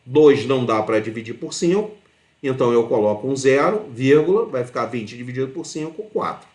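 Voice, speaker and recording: A man's voice: mid-range voice, lively intonation, a little fast, a little mellow. A man with a Brazilian accent giving an informal step-by-step math lesson as a teacher, often checking understanding with short tag questions. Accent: Brazilian Portuguese. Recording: roomy, echoing voice.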